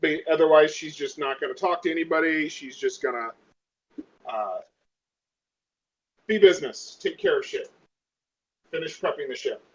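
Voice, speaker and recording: Male tabletop gamer, lighter pitch, thinks aloud haltingly while narrating actions, dull audio. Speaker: player in a tabletop role-playing game. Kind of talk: casual tabletop roleplay, describing character actions.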